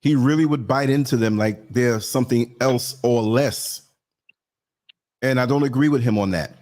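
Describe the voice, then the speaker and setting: A man's voice: medium pitch, normal speed, a little mellow. A man hosting a live talk show, speaking earnestly and repeating words as he pushes his point. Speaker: host. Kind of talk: casual chat.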